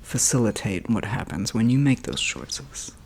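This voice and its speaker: A man's voice: lowish voice, big pitch swings, moderate pace, vocal fry. A man voicing a laid-back teenage metalhead game master in a whispered ASMR roleplay, over a noisy hiss.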